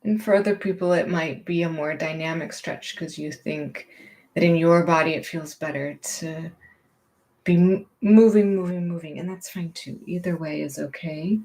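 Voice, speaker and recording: A woman teaching gentle movement and meditation in a reassuring, permissive, unhurried manner. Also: low voice.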